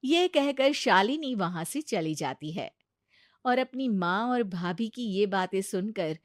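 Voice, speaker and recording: A woman's voice: mid-range voice, animated, slow, dry recording, clean studio sound. A woman reading a scripted family story as its storyteller.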